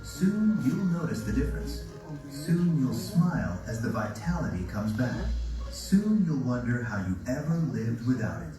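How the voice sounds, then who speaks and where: A man's voice: medium pitch, far from the mic. A man reading soothing, persuasive scripted narration for a promotional video, heard played back in a room. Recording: video played over speakers in an echoey room.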